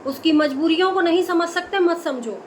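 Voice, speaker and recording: A woman's voice: very high voice, normal speed, slight background noise. A woman delivering an impassioned commentary, indignant and scolding, full of rhetorical questions. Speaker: video commentator.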